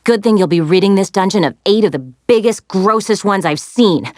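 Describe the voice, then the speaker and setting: An actress voicing a plucky, sassy young woman adventurer in a video game, expressive and wry as she briefs heroes. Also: mid-range voice, moderate pace, no room sound, clean studio sound, natural voice. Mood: brisk, wry. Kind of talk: animated video game character lines, giving mission briefings.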